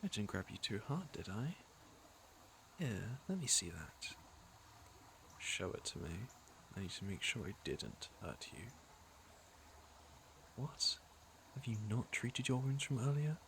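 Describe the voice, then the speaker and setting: A man's voice: medium pitch, sing-song, unhurried, pauses often, a bit bright, slight background noise, full character voice. A man playing a teasing, flirtatious fantasy villain with a caring side, in an intimate ASMR roleplay. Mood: concerned, gentle. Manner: smooth-talking, teasing, intimate. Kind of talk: ASMR roleplay acting, intimate second-person address.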